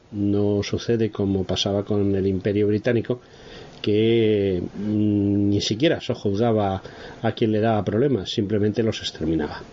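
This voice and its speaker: Low male voice, dull lo-fi sound. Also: warm tone, a little hiss or hum. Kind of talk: spoken commentary introducing an interview.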